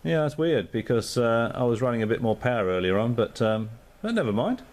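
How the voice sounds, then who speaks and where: A man's voice: mid-range voice, big pitch swings, fairly quick, a little mellow, a little hiss or hum. A man on amateur radio, chatting casually and thinking aloud with lots of fillers. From Australia.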